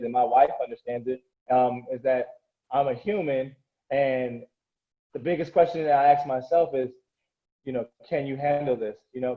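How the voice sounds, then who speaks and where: Earnest motivational coach, lo-fi sound. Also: male voice, medium pitch. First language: Korean. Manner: earnest, motivational, rambling with restarts. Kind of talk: casual interview talk.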